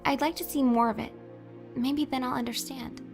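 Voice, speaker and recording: Woman acting a high-voiced, sweet, curious girl. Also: steady tone, normal speed, creaky, faint music behind. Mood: polite, hopeful. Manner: earnest, polite, eager. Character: sweet, curious, wide-eyed girl full of wonder. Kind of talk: audio drama acting.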